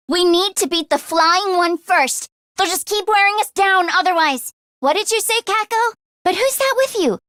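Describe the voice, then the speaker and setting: A woman voicing an earnest, anxious teenage girl mechanic in a video game, talking in a casual, expressive, youthful way. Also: very high-pitched, no room sound, studio quality, heavily acted. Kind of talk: English video game voice acting.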